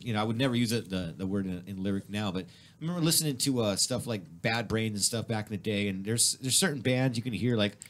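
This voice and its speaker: A man's voice: fairly low pitch, a bit bright. Host type, middle-aged guy, Spanish first language, loose and profane, talks in restarts. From Mexican heritage, grew up speaking Spanish. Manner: rambling, swears freely, many restarts. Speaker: radio show host. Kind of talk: casual profane radio chat. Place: radio studio.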